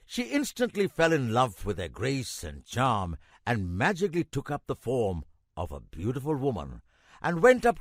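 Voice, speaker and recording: A man playing a kindly old grandfather in a children's cartoon, narrating a legend in a measured, expressive way with emphatic pauses. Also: medium pitch, no echo, clean studio sound.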